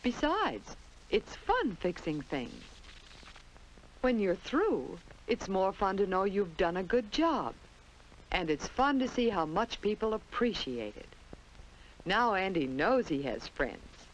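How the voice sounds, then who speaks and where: A woman's voice: mid-range voice, big pitch swings, takes it slowly, slight background noise, poor audio. An American woman narrating a 1950s children's instructional film in a simple storytelling manner, on an old film soundtrack. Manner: simple, storytelling delivery addressed to young children.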